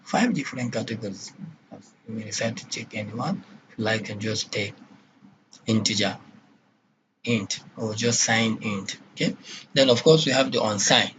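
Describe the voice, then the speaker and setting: A man's voice: fairly low pitch, normal speed, long pauses, noisy background. A man with a Nigerian accent teaching a programming class, asking questions and checking in with "okay?". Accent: Nigerian English. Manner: checks in with repeated "okay?", asks and answers questions. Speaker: lecturer. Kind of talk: classroom lecture.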